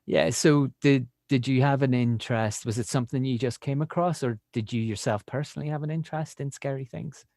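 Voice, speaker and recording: A man's voice: mid-range voice, brisk, hardly any pauses, darkish tone, no echo, studio quality. A man hosting a casual conversational interview, asking loosely worded questions in a slightly hesitant way. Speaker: podcast interviewer.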